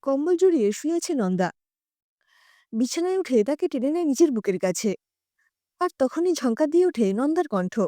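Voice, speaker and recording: A woman narrating a scripted short story in an expressive storytelling style. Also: high-pitched, normal speed, long pauses, dry recording.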